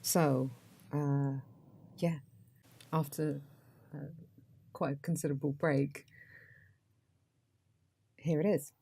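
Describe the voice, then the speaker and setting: A woman with a Received Pronunciation English accent hosting a podcast, talking casually and reading aloud in a flat, even way with frequent ums. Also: low-pitched, slow, pauses often, breathy edge. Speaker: podcast host.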